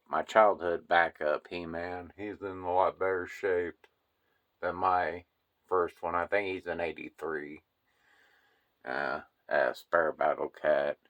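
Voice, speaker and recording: A man with a very low, deep voice walks through his collection room, speaking slowly and unhurriedly. His talk is loose and rambling, full of uh and um. He sounds like he is holding a phone camera as he goes. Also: lo-fi sound. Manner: unhurried, rambling, many fillers. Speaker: toy collector giving a room tour. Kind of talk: casual walkthrough narration.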